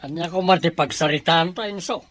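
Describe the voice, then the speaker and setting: Man acting sharp, defiant greedy Ilocano man. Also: fairly high pitch, fairly quick, faint music behind, natural voice. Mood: challenging. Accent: Ilocano, from the Philippines. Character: greedy, defiant male landowner or his kin, gruffly dismissive. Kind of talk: Ilocano audio drama acting.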